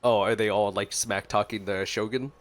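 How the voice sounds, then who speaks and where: A man's voice: fairly low pitch, fairly even intonation, moderate pace, a little hiss or hum. A man giving casual, slangy, chatty reaction commentary as he plays a video game. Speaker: gaming streamer. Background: faint game music.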